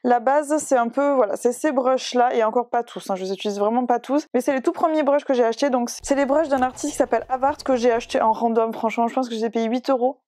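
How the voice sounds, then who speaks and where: A woman's voice: on the high side, fast, hardly any pauses, a little mellow, no echo, clean studio sound. A young woman illustrator giving a casual drawing tutorial, chatty and self-deprecating, with many hesitations. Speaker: digital illustrator.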